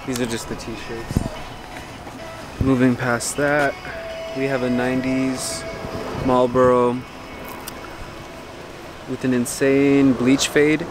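A man's voice: medium pitch, little pitch movement, takes it slowly, long pauses, music in the background, low-quality recording. A man casually walking through his vintage clothing haul outdoors by parked cars, enthusiastic and descriptive. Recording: outdoor street noise.

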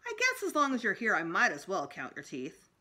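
A woman's voice: mid-range voice, huge pitch swings, a little fast, sharp tone. A woman voicing a playful, teasing male children's dentist from a storybook, with exaggerated coaxing praise.

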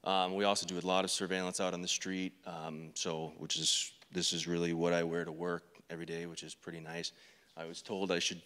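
An American man giving an informal career talk as an agent, halting and hesitant, speaking into a handheld microphone on a stage. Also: sits low, level tone, a bit bright. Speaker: drug enforcement agent, panel speaker. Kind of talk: informal career presentation to an audience. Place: stage of an auditorium. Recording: reverberant hall sound.

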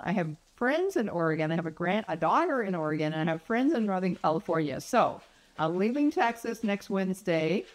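A woman's voice: on the low side, poor audio. A woman in her sixties chatting casually to a phone camera in her home kitchen, friendly and rambling with fillers and little laughs. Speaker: vlogger. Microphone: phone camera at arm's length.

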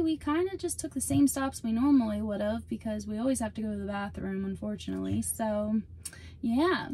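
A woman's voice: a little high, vocal fry, a little mellow, slight background noise. A young woman chatting upbeat to a phone camera held at arm's length in a parked car. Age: young adult. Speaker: vlog co-host. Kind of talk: casual vlog talk.

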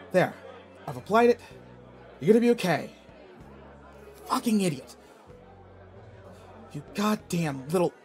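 Man acting high-voiced, gruffly caring young student bully. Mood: panicked, urgent. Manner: brusque, swearing, emotional. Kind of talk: acted audio roleplay drama.

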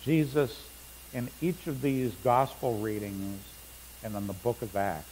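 A man's voice: mid-range voice, steady tone, measured pace, darkish tone. A middle-aged male pastor preaching a sermon in a church sanctuary, reading scripture aloud with rising emphasis. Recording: long church reverb, faint hum.

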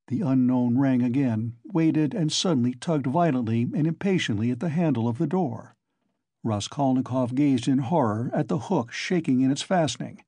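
Husky male audiobook narrator. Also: medium pitch, warm tone, dry recording. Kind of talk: scripted literary narration.

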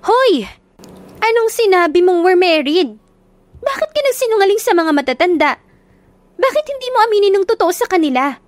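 Woman voicing a shrill, quick-tempered young heroine. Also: very animated, dry recording. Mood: indignant. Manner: shrill, indignant, stammering when flustered.

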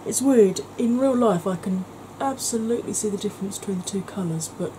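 A woman's voice: medium pitch, fairly quick, low-quality recording. Woman, 40s, chatty makeup tutorial, close to camera, audible hiss. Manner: chatty, talks through each step, drops to soft near-whispers. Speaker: makeup vlogger. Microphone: camera close to the face.

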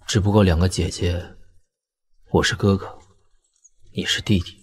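An actor playing a weary, tender young lord and elder brother in an audio drama, speaking in a measured, reflective way. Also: male voice, low-pitched, little pitch movement, slightly rough. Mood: tender, rueful. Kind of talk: audio drama dialogue in Mandarin wuxia serial.

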